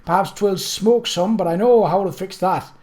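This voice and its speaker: A flustered Victorian household cook in a storybook, acted out by a man. She is high-pitched and hoarse, with rustic, deferential servant's speech and an indignant temper. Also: normal speed, a little mellow, lightly acted. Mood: fervently hopeful. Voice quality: hoarse rasp. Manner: rustic servant's dropped words and deferential address.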